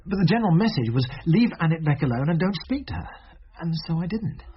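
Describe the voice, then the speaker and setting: A nervous British teenage lad played by a man, halting and defensive, with a high voice. He sounds reluctant, in a radio drama recording that is dull with some noise. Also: expressive, close to an everyday voice. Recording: muffled, noisy old radio recording.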